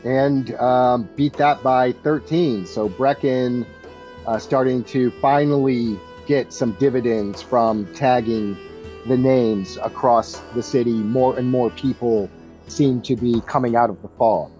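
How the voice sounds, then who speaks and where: A man running a tabletop game as game master, narrating casually in a halting, think-as-he-goes way. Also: mid-range voice, fairly even intonation, dark tone. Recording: dull lo-fi audio, music underneath. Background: background music.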